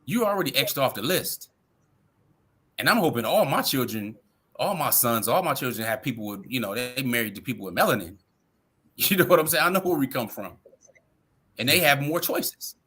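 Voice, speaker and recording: A father in a casual discussion, speaking in an opinionated, animated way. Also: mid-range voice, moderate pace, long pauses, slightly rough.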